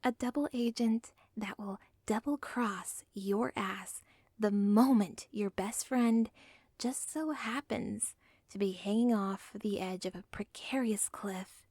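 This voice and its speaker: Actress for obsessive young villain's daughter; intimate whisper, slow and breathy, dead-dry mic. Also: female voice, mid-range voice, a little mellow, dry recording, natural voice. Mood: urgent. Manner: intimate, pleading, self-aware. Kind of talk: ASMR audio role play.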